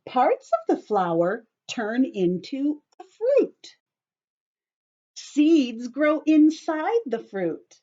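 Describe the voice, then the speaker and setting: Slow, swooping high-voiced woman teacher reading aloud. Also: muffled recording. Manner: expressive, simple teacherly phrasing with questions to children. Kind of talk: read-aloud lesson for children with explanations.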